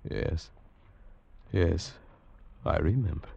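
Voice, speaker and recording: A man's voice: low-pitched, slow pace, vocal fry, breathy edge, lo-fi sound, heavily acted. An actor playing a haunted, tormented man telling of his ordeal with witchcraft, fervent and theatrical in 1940s radio style. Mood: hushed, entranced. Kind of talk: 1940s radio drama acting, dramatic first-person narration. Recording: old radio broadcast with loud musical backing. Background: dramatic music.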